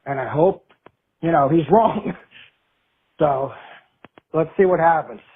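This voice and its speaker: American guy from jersey leaving a rambly casual voicemail, slightly high voice, phone sound. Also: slow, narrow, tinny audio. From New Jersey. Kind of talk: casual chat.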